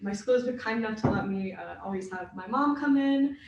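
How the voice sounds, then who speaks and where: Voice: mid-range voice, expressive, fairly quick, gravelly, highs cut off, slight background noise. A young adult who grew up in the United States, co-hosting a podcast in thoughtful, hedging, self-deprecating chat. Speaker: podcast co-host. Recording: very echoey, distant from mic.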